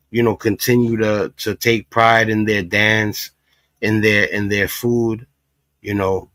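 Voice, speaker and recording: A man's voice: fairly low pitch, fairly bright tone, no room sound. A man from Puerto Rico hosting a casual reaction livestream, talking emphatically with frequent asides. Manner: emphatic, talks in repeated asides. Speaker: livestream commentary host.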